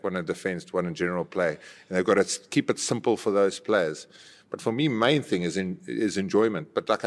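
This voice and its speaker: A South African man chatting casually about sport in an anecdotal, conversational way, as a former player. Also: low voice. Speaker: former rugby player and podcast regular. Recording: roomy hall-like reverb.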